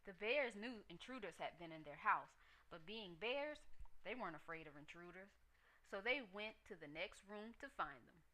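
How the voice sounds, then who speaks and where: A woman storyteller giving an expressive narration of a children's tale. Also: mid-range voice, moderate pace, poor audio.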